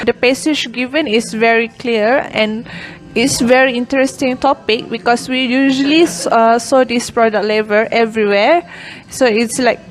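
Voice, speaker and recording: Young Malaysian woman, halting English. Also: fairly high pitch. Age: young adult. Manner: hesitant, frequent "uh" and "like" fillers. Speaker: university student. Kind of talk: recorded interview answers.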